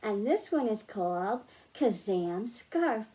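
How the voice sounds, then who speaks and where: A woman's voice: medium pitch, sing-song, slow pace, mellow. A woman presenting a science storytime for young children, explaining simply and putting questions to her listeners. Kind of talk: storytime read-aloud and hands-on lesson for young children.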